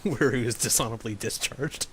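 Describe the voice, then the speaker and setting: A man co-hosting with casual comic banter, giggly and excitable as he heckles and acts out jokes. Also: medium pitch, a little fast, slight background noise. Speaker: co-host heckling the reading.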